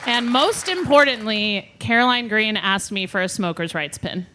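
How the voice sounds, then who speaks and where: A woman's voice: medium pitch, animated, normal speed, a crowd in the background, noisy background. A woman casually co-hosting a podcast at a live show. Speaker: podcast co-host. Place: live show before an audience.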